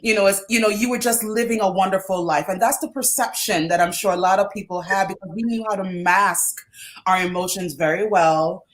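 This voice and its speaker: A middle-aged woman hosting a casual interview, chatty and quick to laugh. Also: medium pitch, fairly even intonation. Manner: laughing, chatty, reminiscing with fillers. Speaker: host.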